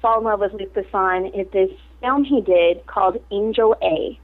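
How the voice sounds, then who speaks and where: A woman's voice: mid-range voice, normal speed. A woman actress in a casual interview, talking animatedly and laughing often. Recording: tinny phone-like line.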